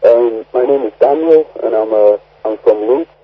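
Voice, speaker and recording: A man's voice: medium pitch, normal speed, husky. A man from England calling into a radio show, hesitant and tentative. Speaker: caller. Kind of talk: call-in phone conversation. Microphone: telephone. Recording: distant, muffled phone line.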